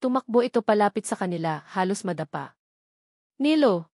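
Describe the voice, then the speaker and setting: Audiobook narrator: woman, medium pitch, scripted dramatic romance delivery, very dry sound. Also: expressive, normal speed, darkish tone, no echo.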